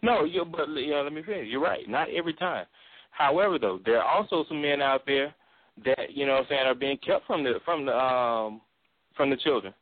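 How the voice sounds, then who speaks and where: Fast-talking baritone man on a phone line. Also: no room sound. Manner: emphatic, laughs often, repeats "you know what I'm saying". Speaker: guest or co-host on a call-in talk show. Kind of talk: casual opinionated chat. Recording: thin phone-line sound.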